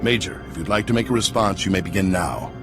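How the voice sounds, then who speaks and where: Man acting a formal, low-voiced senate chairman. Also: fast talker, lightly acted. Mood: courteous. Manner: courteous, official. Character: formal, even-handed male senate chairman. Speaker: chair of a hearing. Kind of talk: video game voice acting, formal proceedings. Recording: music behind the voice.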